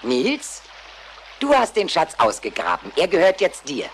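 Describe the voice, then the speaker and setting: Older boss goose in a cartoon, wise, deliberate, swoopy intonation, slight noise. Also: female voice, deep voice, wildly swooping pitch, exaggerated voice, poor audio. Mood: thoughtful. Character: older female wild goose, wise commanding flock leader. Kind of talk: cartoon audio play acting.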